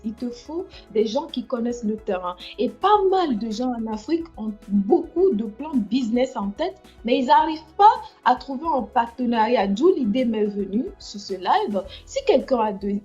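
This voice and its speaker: A woman's voice: on the high side, dark tone, lo-fi sound. A woman hosting a casual livestream chat, speaking hesitantly with many fillers and restarts. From France. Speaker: livestream host.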